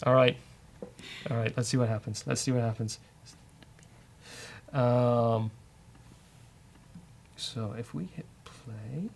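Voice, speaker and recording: A man hosting a casual live-coding session, thinking aloud as a software developer. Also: medium pitch, takes it slowly, vocal fry, pauses often. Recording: faint noise, keyboard typing.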